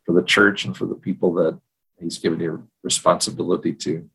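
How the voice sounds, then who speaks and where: A middle-aged or older man co-hosting a podcast, talking casually in a measured, reflective way. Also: deep voice, little pitch movement, creaky, fairly bright tone, breathy edge, poor audio. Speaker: podcast co-host. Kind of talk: casual conversational podcast talk, personal storytelling. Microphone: video call.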